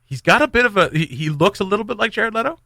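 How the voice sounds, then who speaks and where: A man's voice: sits high, animated, fast talker, gravelly, sharp tone, dry recording, clean studio sound. A man hosting a morning radio show with casual, joking, rambling banter and announcements. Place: radio studio.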